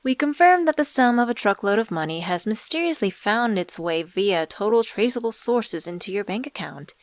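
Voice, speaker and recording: A woman voicing an enigmatic supernatural dealmaker in an ASMR audio drama, coaxing and teasing in a deliberate, smooth-talking way. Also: mid-range voice, lively intonation, no room sound, natural voice. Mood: playful, satisfied. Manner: coaxing, teasing, deliberate, calls listener sweetheart. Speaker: voice actor in a scripted audio drama.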